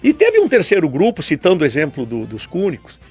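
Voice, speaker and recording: Husky older man with a lively, high voice. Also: a little fast, faint music behind. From southern Brazil. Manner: lecturing, with frequent tag questions. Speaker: guest historian. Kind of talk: explanatory radio conversation.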